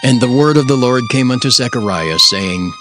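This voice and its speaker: A man narrating scripture in a measured, formal, scripted style. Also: medium pitch, expressive, over music.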